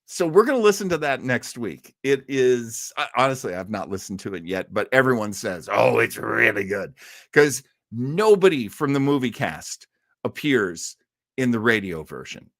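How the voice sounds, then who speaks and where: A man's voice: mid-range voice, sing-song, normal speed, a bit bright, no room sound, clean studio sound. A man from the United States chatting casually and enthusiastically as host of a live listening show, rambling into asides. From United States, outside Boston. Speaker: host of an old-time radio listening show.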